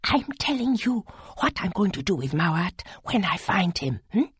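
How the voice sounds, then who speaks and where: A woman's voice: medium pitch, very animated, moderate pace, husky, dry recording, pitched above the performer's own voice. A woman voicing a distraught, vengeful woman in an audiobook, urgent and wild with grief and fury. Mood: hissed resolve. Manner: urgent, wailing, snarling, swinging from whispers to cries. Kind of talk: audiobook character acting.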